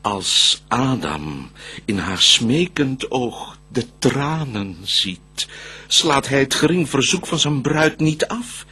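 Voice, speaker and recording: A man's voice: medium pitch, sing-song, slow, sharp tone, slightly rough, heavily acted. A man playing a cunning, calculating and defiant devil in a classical verse radio play, speaking with theatrical emphasis. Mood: insinuating.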